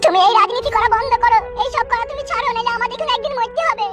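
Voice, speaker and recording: Woman playing a squeaky, frantic cartoon mother. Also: very fast. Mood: bitter pleading. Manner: pleading, agitated. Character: frantic wife and mother of a kidnapped boy. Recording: loud music behind voice.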